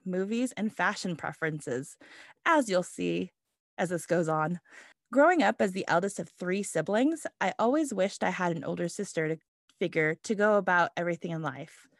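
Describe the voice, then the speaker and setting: A woman's voice: lowish voice, dry recording. Woman, thirties, from Los Angeles, co-host, eager scripted intro, dry close sound. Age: 30s. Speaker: podcast co-host.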